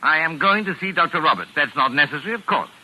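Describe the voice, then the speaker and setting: Pompous, high-strung male novelist, sharp-edged. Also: expressive, quick pace, exaggerated voice, low-quality recording. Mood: indignant. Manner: pompous, exasperated, precise formal diction. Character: pompous, touchy male mystery novelist dictating to secretary. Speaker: actor. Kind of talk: 1940s radio drama acting. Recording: raspy vintage 1940s radio recording.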